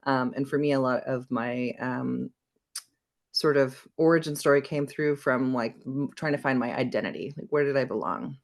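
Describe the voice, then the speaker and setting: A woman in her forties who grew up in Belgium, speaking as a podcast guest. Her voice carries a gravelly, fry-edged texture. She talks reflectively, circling back with ums and restarts as she tells her story. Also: rumbling low, moderate pace. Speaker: wellness coach, interview guest. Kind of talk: conversational podcast interview.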